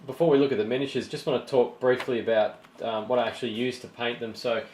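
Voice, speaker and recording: A man with a slightly croaky voice, casually showing his painted miniatures and explaining each step with plenty of ums and restarts. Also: medium pitch, steady tone, fast, darkish tone, slight background noise, poor audio. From Australia. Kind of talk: casual show-and-tell vlog.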